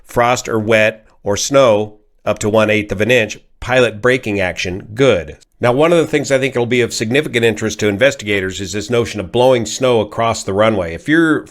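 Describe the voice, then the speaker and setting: An American man, a pilot from California, giving an informal analysis in a measured, methodical, technical way. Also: fairly low pitch. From California, United States. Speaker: pilot and aviation commentator. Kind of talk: informal explanatory accident analysis.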